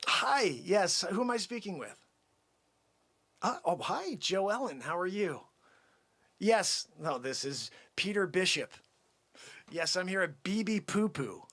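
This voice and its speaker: A man acts out a sleazy, boastful crypto cold-caller in an improvised comedy. His husky voice sits high and swings wildly, bright and forceful. He wheedles, repeats himself and brags without shame. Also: very animated, measured pace, long pauses, exaggerated voice. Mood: smooth, confiding. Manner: brash, wheedling, repetitive, swinging between whispers and yells. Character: pushy, bragging, sleazy cold-call crypto salesman. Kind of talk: improvised comic sales patter.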